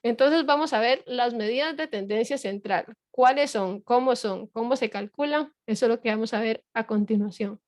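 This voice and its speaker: Middle-aged woman teacher, patient and repetitive, slightly high-pitched, dry close sound. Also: normal speed, few pauses, dry recording. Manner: patient explaining with frequent fillers and rhetorical checks. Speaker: university lecturer. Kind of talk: online lecture.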